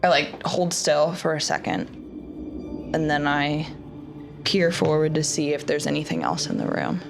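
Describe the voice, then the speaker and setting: A woman's voice: deep voice, lively intonation, moderate pace, creaky. A woman talking casually as a tabletop roleplay player, thinking aloud as she describes what her character does. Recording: prominent music bed. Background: background music score.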